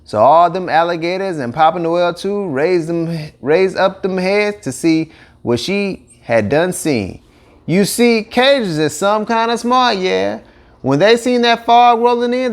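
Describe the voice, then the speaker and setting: A man tells a children's tale in a folksy Cajun accent. His voice sits fairly high for a man and moves around a lot in pitch, with a colloquial, animated storyteller's delivery. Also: unhurried. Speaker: audiobook narrator.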